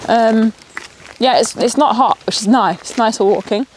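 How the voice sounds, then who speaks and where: A young woman from Nottingham talks brightly and excitably as she walks, her voice sitting fairly high. She sounds sniffly and a touch nasal. A faint hiss runs behind her outdoor chatter. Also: moderate pace. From Nottingham, England. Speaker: travel vlogger. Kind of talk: chatty walk-and-talk vlog. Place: outdoors on a countryside walk, rain.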